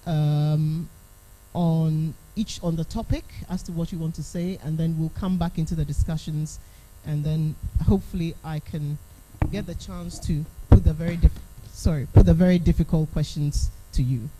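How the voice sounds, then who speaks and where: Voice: mid-range voice, steady tone, normal speed, noisy background. A moderator speaks politely and somewhat hesitantly, handing the floor to the speaker on a panel.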